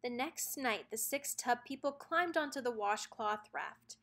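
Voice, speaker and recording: A woman narrating a children's storybook aloud in an expressive read-aloud style. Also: fairly high pitch, measured pace.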